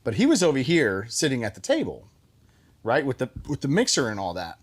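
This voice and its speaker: A man hosting a show, telling casual stories and acting out bits of conversation in an animated way. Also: medium pitch, fairly quick, husky. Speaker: show host. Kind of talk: casual group storytelling.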